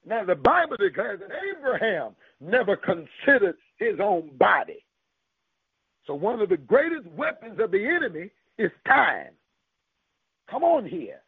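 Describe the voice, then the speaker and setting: A man preaching in a high, gravelly voice with a breathy, husky edge. He drives the sermon with call-and-response and recites scripture. The sound is dry and narrow, like a tinny radio broadcast. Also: big pitch swings, measured pace, dry recording.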